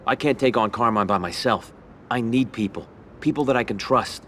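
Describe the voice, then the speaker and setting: An actor playing a loyal, businesslike young mob boss in a video game, plain and direct. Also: male voice, medium pitch, moderate pace, fairly bright tone, slight background noise, natural voice. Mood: determined. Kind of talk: video game cutscene acting.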